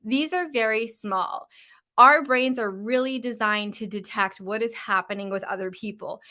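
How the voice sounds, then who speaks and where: A woman with a mild Canadian West Coast accent gives a casual live-stream coaching talk. Her voice sits a little high and sounds very bright and forward. Her statements lift at the end, and she talks informally with plenty of "like.". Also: moderate pace. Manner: uptalk, informal with frequent fillers. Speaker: confidence coach. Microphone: live-stream mic.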